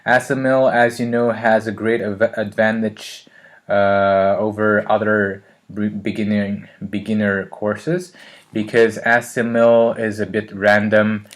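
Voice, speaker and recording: A man talking casually to camera about learning languages, pausing often with fillers and restarting his sentences. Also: lowish voice, flat delivery. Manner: hesitant, many fillers and restarts.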